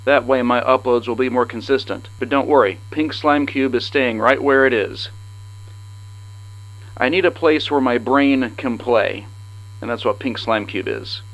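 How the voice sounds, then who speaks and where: A man's voice: medium pitch, fairly even intonation, measured pace, fairly bright tone, poor audio. A man hosting a podcast, casually addressing his listeners with an announcement. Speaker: podcast host.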